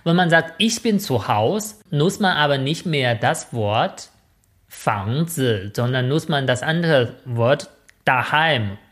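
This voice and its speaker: A woman's voice: extremely low pitch, animated, slow pace. A woman whose first language is Mandarin, speaking with a Chinese accent as a podcast co-host, hesitant, with many filler sounds. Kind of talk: casual podcast chat.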